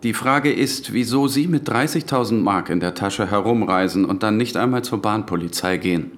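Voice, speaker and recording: Actor as curt, menacing police official. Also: male voice, fairly low pitch, normal speed, natural voice. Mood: suspicious, officious. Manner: curt, interrogating, bureaucratic. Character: brusque, menacing, officious male Nazi-era police official. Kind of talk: German radio drama acting.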